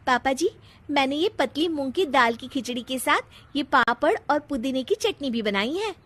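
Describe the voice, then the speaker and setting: A woman plays a young daughter-in-law in a cartoon family story. Her voice is high and eager, politely explaining with proud self-satisfaction. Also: expressive, moderate pace, fairly bright tone.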